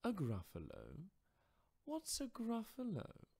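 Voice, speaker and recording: Man voicing a high, jumpy storybook fox. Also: huge pitch swings, takes it slowly, vocal fry, dark tone, exaggerated voice. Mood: curious. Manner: exclamatory, swooping. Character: sly fox, easily spooked.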